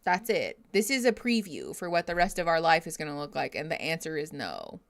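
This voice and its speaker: A woman's voice: deep voice, big pitch swings, fairly quick. A woman streaming a casual reaction to a TV show, chatty and opinionated, with many fillers. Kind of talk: casual live reaction chat. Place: home room, at a standing desk.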